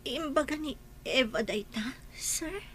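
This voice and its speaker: An actress with an Ilocano accent playing a meek, anxious household nanny in a radio drama. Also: female voice, sits high, lively intonation, slow pace, a bit bright, husky, full character voice. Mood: timid, weak.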